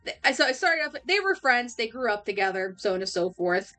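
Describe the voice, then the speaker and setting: Giggly, bright-voiced young woman streamer. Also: a little high, very animated, fairly quick, soft background music. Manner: giggly, laughs often, rambling with restarts. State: losing her voice. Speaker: livestreamer. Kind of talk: casual chat.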